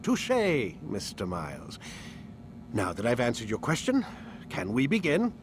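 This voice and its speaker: Smug condescending scientist villain from a video game, very up and down voice, noisy rumbly background. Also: male voice, wildly swooping pitch, unhurried. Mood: smug satisfaction. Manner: patronizing, sardonic, articulate. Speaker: game voice actor. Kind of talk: dramatic game cutscene dialogue.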